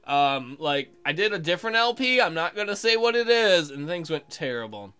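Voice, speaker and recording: A woman's voice: on the low side, very animated, moderate pace, fairly bright tone, smooth. A woman hosting a let's play, reading aloud and commenting casually in a playful, sarcastic way.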